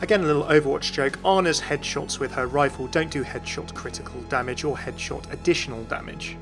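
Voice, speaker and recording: A man's voice: on the high side, a little fast, over music. A British man hosting a video, reading scripted game commentary and narration. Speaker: video host.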